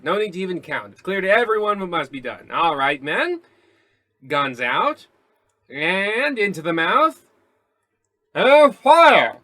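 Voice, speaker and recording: A man acts out a bombastic police detective from an old-style radio play. The voice sits fairly high and rings bright and forceful. It swings widely in pitch, pompous and impatient, as if every order were a proclamation. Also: heavily acted. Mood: rousing, commanding. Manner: pompous, declamatory. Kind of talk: old-time radio comedy acting.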